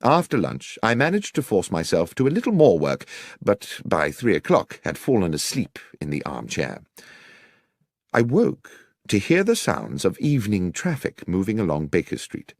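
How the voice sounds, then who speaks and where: British man narrating, lively range, low pitch. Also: normal speed, no room sound, studio quality. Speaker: audiobook narrator. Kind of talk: scripted first-person story narration.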